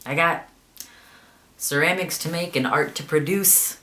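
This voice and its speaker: A man's voice: fairly high pitch, measured pace, bright, forceful tone. A young man chatting casually in a video diary, rambling with plenty of fillers. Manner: rambling, chatty, lots of ums. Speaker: vlogger.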